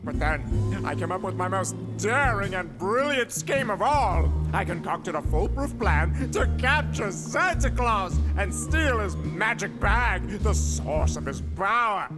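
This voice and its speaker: A man's voice: sits high, animated, unhurried, few pauses. A man playing a gloating, greedy supervillain in a comedic audio drama, with pompous, grandiose and mock-polite delivery. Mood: grandiose ranting. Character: gloating, theatrical male supervillain, greedy schemer. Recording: loud music bed under the voice. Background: music.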